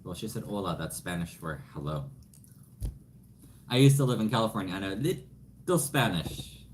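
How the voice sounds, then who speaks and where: Joking man, lively low voice, home livestream, faint noise behind. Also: normal speed. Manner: joking, self-deprecating. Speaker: livestream co-host. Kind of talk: casual chat.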